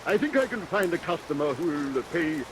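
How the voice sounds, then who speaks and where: A self-righteous merchant in an old radio melodrama. His voice is highish and jumps about expressively. He is prim and wary, under a noisy, hissy recording. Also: big pitch swings, a little fast. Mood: wary bargaining. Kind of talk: vintage radio melodrama acting.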